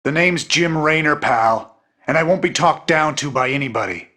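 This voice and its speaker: A man's voice: fairly high pitch, normal speed, bright, forceful tone. A man playing a cocky human space soldier in a video game, with a brash, folksy way of talking. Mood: defiant.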